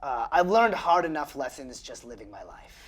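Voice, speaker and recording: A woman's voice: rumbling low, sing-song, normal speed, over a noisy crowd. A woman player in a tabletop game, improvising casual joking banter.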